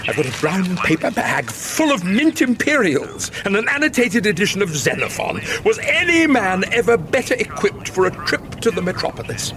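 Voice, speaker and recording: An actor playing a pompous, old-fashioned, bookish English museum director in a radio sitcom, with florid, erudite speech. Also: male voice, high voice, wildly swooping pitch, moderate pace, few pauses, a crowd in the background, exaggerated voice. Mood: grandly pleased.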